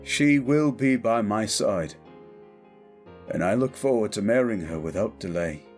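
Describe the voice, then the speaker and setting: A man's voice: on the low side, expressive, a little slow, soft background music. A man playing a proud, commanding royal heir in a fantasy audio drama, speaking in formal declarations. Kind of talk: dramatic audio roleplay acting.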